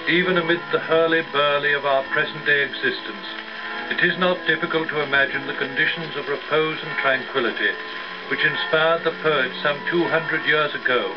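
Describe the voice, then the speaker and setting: A man, a vicar, giving a formal scripted introduction. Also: medium pitch. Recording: muffled old gramophone record, music behind. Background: church organ music.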